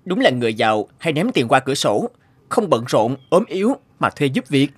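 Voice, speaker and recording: A man's voice: mid-range voice, sing-song, brisk, breathy edge. A man voicing a greedy, pushy young schemer of a boyfriend in a cartoon. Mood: scornful. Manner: scheming, insistent. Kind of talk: cartoon voice acting. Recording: faint music behind the voice.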